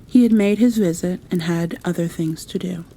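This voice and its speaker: Woman narrator, mellow tone, creaky voice, bedtime storybook reading, ASMR style. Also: fairly low pitch, normal speed.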